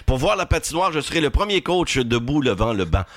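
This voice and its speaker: Laughing Quebec French radio co-host. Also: male voice, medium pitch, very animated, a little fast, no room sound. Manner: laughs often while telling jokes. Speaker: radio morning show co-host. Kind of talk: joking radio banter, reading fake texts.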